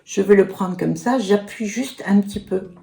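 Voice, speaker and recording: A woman's voice: medium pitch, normal speed, vocal fry, warm tone. A woman in her sixties chatting casually through a makeup tutorial and product review, filling her talk with little asides. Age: 60s. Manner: chatty, fills with "hein" and "voilà". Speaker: beauty vlogger.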